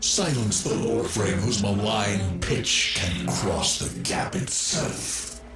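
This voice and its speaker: Slow, menacing machine overlord. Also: male voice, on the low side, lively intonation. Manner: solemn, commanding. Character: menacing ancient male machine mind, slow and commanding. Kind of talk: dramatic video game voice acting. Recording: echoing voice under very loud music.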